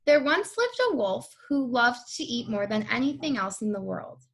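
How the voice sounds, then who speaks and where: A woman's voice: fairly high pitch, animated, moderate pace, fairly bright tone. A woman co-reading a children's story aloud, with casual chatty comments in between. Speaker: co-reader.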